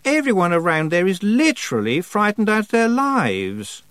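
A man reading aloud, voicing a sharp, condescending teenage girl witch with a needling manner. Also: high voice, sing-song, normal speed, no room sound, voice pushed up. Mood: cutting. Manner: snappish, needling.

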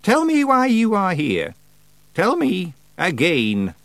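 A man's voice: high-pitched, wildly swooping pitch, slow, creaky, dry recording, voice pushed up, heavily acted. A man voicing an ancient, stern and suspicious witch, questioning sharply in an audiobook. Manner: commanding, sharp interrogator. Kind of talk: audiobook character voice.

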